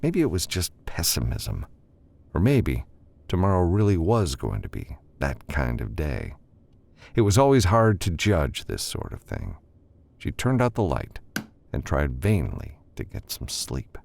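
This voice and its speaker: A man narrating scripted fiction as an audiobook reader, with expressive storytelling delivery. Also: extremely low pitch, measured pace, gravelly, no echo.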